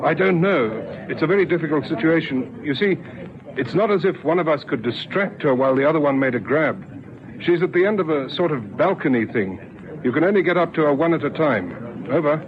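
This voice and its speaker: An actor playing a brisk, worried patrol policeman in a vintage radio drama, speaking in clipped, urgent style. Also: on the high side, lively intonation, lightly acted. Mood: urgent, businesslike. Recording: muffled vintage radio, clear background noise.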